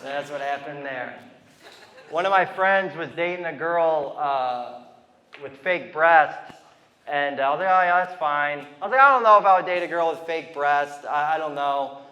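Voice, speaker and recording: A man's voice: a little high, normal speed, bright, forceful tone, big-room sound, lo-fi sound. A man in his thirties from Ohio with a lisp, doing a stand-up comedy set in a loose, rambling way with asides to the crowd. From Toledo, Ohio, US. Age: 30s. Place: comedy venue with a live audience.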